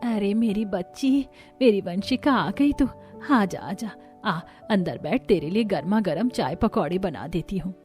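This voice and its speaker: A woman's voice: mid-range voice, expressive, unhurried, a little mellow, soft background music, natural voice. A woman voicing a poor, doting middle-aged mother in a cartoon, tender and fussing. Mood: affectionate.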